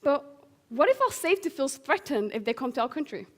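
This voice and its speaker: A woman's voice: sits high, big pitch swings, fairly quick. A teenage young woman from Syria giving an earnest prepared public speech. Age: teens. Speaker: young guest speaker.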